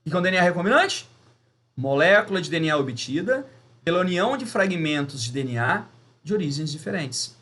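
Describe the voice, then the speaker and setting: A man's voice: a little high, big pitch swings, a little fast, fairly bright tone. A man with a Brazilian Portuguese accent teaching a lesson step by step, emphatic and didactic, often putting questions to his listeners. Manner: didactic, emphatic, with rhetorical questions and check-ins. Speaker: biology teacher. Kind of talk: video lesson, explaining step by step.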